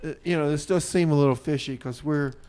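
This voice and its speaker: Man, radio host, smooth resonant tone, slightly raised pitch, hesitant, repetitive, improvised comedy chat. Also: steady tone, fast talker, warm tone. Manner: hesitant, repeats himself.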